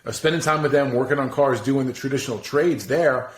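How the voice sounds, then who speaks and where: A man's voice: mid-range voice, fast, a little hiss or hum. A man in his forties, a podcast guest, talking loosely in casual chat, swearing freely and laughing at himself. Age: 40s. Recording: roomy echo.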